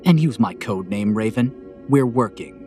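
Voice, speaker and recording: A man's voice: lowish voice, animated, normal speed, dark tone, faint music behind, close to an everyday voice. A man playing a stoic male angel of death in an audio drama, speaking in a serious, formal, controlled way. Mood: stern. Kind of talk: audio drama character acting.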